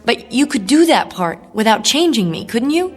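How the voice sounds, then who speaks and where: Young female rebel, radio drama: earnest, protesting, idealistic, slightly high-pitched. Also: sing-song, normal speed. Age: young adult. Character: defiant, idealistic young woman refusing a forced transformation. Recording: music bed under voice.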